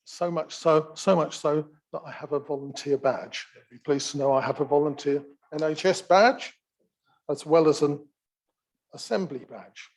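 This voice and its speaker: A soft-spoken man giving an informal talk at a community meeting, unhurried and gently wry. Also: fairly high pitch. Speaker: assembly action group member speaking at a community meeting. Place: theatre function room, live event.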